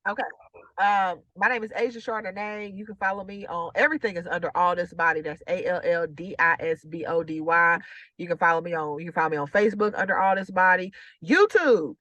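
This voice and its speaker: A woman's voice: on the low side, brisk, bright, forceful tone, no room sound, lo-fi sound. A woman comedian, a guest joining by video call, chatting in a playful, self-promoting way. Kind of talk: casual chat on a video call.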